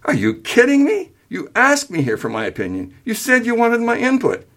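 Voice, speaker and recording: A man's voice: on the high side, very animated, fast, pitched above the performer's own voice. A man reading a story aloud, voicing an exasperated but reasonable grown-up son with an emphatic, sarcastic delivery. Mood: incredulous. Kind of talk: acted dialogue in a story reading.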